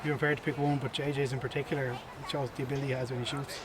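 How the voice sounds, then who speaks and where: A man's voice: medium pitch, flat delivery, rapid-fire. A man, a club interviewer putting prepared questions to the manager. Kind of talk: post-match interview questions. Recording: loud crowd noise behind.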